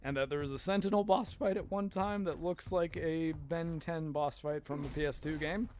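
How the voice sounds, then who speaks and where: A man streaming a game, rambling in casual commentary with a hoarse voice and frequent laughter. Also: fairly high pitch, fairly even intonation, moderate pace, a little mellow. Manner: chatty and rambling, laughs often.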